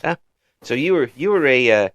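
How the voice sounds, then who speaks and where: A man's voice: mid-range voice, animated, normal speed, fairly bright tone, muffled recording. A man hosting a casual interview. He chuckles often and restarts his phrases. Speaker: interview host.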